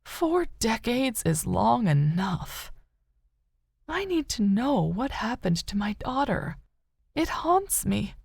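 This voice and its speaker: A woman reader performs an older mother in an audiobook novel, haunted by loss but clinging to hope. Her voice is lifted high and soft, with some creak in it. She speaks tenderly and sorrowfully, in a close, dry recording. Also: sing-song, slow pace, dry recording, heavily acted. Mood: haunted, sad. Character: grieving older mother, wistful, haunted, still hopeful.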